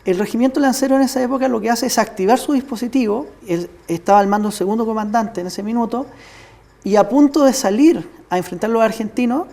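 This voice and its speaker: A man's voice: very high-pitched, fast talker, gravelly, warm tone. A man with a Chilean accent giving an emphatic, explanatory historical account as an interview guest. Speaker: studio guest, military history commentator.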